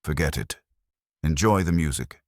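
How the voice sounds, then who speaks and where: A man's voice: very deep voice, moderate pace, no room sound, studio quality. A man voicing a bitter, defensive society drinker in an audiobook, sardonic and guarded. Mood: defensive, dismissive.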